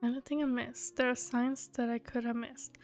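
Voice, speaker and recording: A woman's voice: a little high, flat delivery, normal speed, darkish tone, faint music behind. A woman streaming a game playthrough, reading dialogue aloud and reacting casually. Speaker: gaming streamer.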